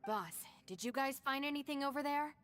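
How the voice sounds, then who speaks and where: A woman voicing a calm, sensible young gang deputy in a video game, composed and polite. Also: sits high, fairly quick, soft background music.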